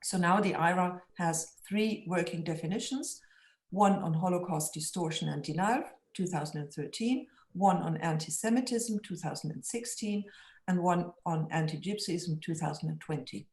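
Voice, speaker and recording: A woman speaking as a guest in an online panel discussion, measured and careful, with frequent restarts. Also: on the low side, darkish tone. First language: German. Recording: echoey room.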